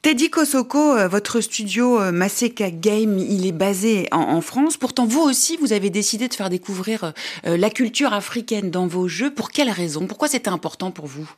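A woman's voice: medium pitch, animated, moderate pace. Woman host moderating a panel. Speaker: radio host.

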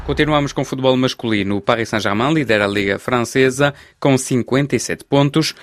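A man working as a radio sports reporter, reading the news and putting questions to players in a measured, formal way. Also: mid-range voice, soft background music. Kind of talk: scripted news reading and interviewing.

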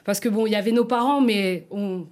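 Guest journalist, woman, playful and lively, laughs often, walks through a prepared piece. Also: mid-range voice, fairly quick. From not Congolese. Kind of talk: presenting a prepared segment.

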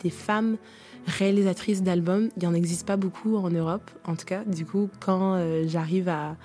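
A woman's voice: lowish voice, steady tone, moderate pace, warm tone, soft background music. A woman singer guest chatting on a radio show, enthusiastic and tumbling over her words. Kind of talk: casual radio interview.

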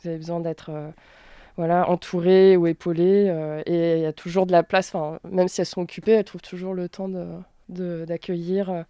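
A woman giving casual, spontaneous testimony as a mother, halting and often leaving sentences unfinished. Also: on the low side, little pitch movement, mellow, clear, resonant tone, no room sound. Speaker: mother interviewed at a health centre.

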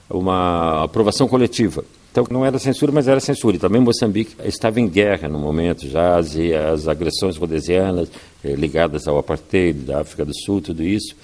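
An older man from Brazil with a very deep, low voice tells his story in an interview. He speaks at a measured pace and often tags his sentences with "né". He talks like a guest who is used to telling stories. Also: a little mellow. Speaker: guest, writer and filmmaker. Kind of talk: reflective interview answers.

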